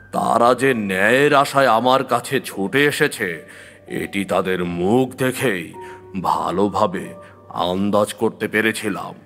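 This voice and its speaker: Man acting calm tantric occultist, low pitch, very slow grave delivery, audio horror story. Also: creaky, exaggerated voice. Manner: measured, grave, deliberate. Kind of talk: dramatic scripted audio story narration.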